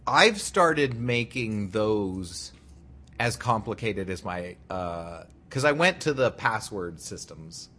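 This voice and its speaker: Male podcast co-host, slightly stuffy, bright tone, relaxed storyteller. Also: on the low side, takes it slowly. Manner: laid-back storyteller, swears freely. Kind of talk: casual podcast chat.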